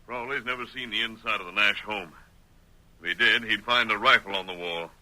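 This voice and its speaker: A resolute masked cowboy hero in a vintage radio western. His low voice is very forceful and authoritative, with measured wording, on an old broadcast recording. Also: rough recording. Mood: calm, resolute. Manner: authoritative, measured, clearly worded.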